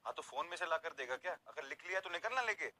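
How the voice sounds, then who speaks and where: A man's voice: on the high side, expressive, fast talker, pushed and bright. An actor playing an entitled, impatient rich man's son, brusque and commanding, in an audio story. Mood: impatient, threatening. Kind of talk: audio story character acting.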